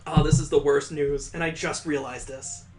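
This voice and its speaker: A game playthrough host of unclear gender, reading visual novel narration aloud in an expressive, jokey way with casual asides. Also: mid-range voice, moderate pace, soft background music, poor audio.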